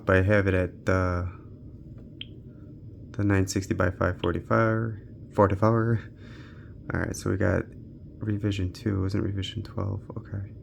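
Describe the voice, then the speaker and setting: A man's voice: lowish voice, vocal fry, a little hiss or hum. A man giving casual, off-the-cuff commentary as he tests gaming gear, muttering to himself as he works. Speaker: gaming handheld reviewer.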